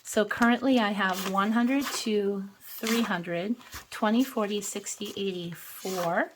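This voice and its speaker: Slow-talking hoarse woman vlogger. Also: medium pitch, background noise. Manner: slow, chatty, counts bills aloud. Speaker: budgeting channel host. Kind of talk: casual cash-stuffing vlog.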